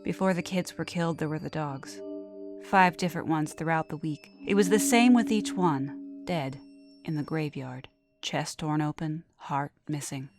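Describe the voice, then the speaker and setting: A woman with a lowish voice tells a horror story. Her delivery is scripted and dramatic, sinking into hushed phrase endings, with faint music behind her. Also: normal speed. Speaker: narrator. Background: background music.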